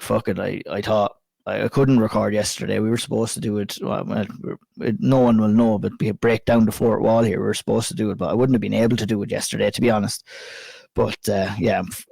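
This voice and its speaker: An Irish man hosting a casual podcast chat, a bit hoarse and croaky from a flu, chatty and sweary. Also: fairly low pitch, level tone, fairly quick, darkish tone, no room sound. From Ireland. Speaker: podcast host.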